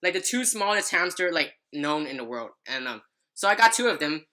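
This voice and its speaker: A woman's voice: low voice, big pitch swings, a little fast, sharp tone. A young adult woman telling a personal story in casual podcast chat, rambling and often stumbling over her words.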